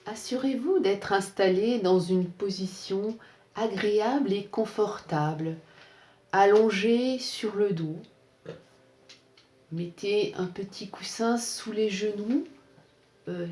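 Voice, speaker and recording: A woman leading a guided yoga relaxation in a calm, soothing, measured way, leaving pauses between phrases. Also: mid-range voice. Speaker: yoga nidra instructor. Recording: roomy, echoing sound.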